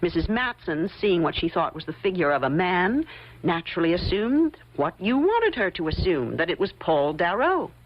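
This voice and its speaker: A woman's voice: lowish voice, big pitch swings, normal speed. An actress playing a shrewd, coolly confident woman investigator in an old-time radio mystery, insistent and pointed. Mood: coolly explaining. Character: shrewd, probing woman investigator laying out her theory. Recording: muffled old radio drama recording.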